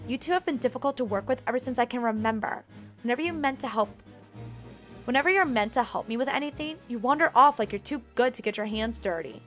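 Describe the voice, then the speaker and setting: A woman reads a protective, indignant housemaid in a story game. The voice is fairly high and firm, telling others off bluntly. Faint music runs underneath. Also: animated, fast, fairly bright tone. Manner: firm, reproachful lecturing. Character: indignant, blunt female maid, protective and stern.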